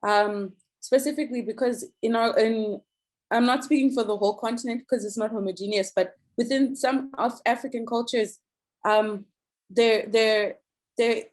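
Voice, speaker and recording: A young woman from Zimbabwe giving an earnest, thoughtful contribution in an online meeting, pausing often with ums and restarts. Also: fairly high pitch, steady tone. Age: young adult. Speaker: youth summit participant.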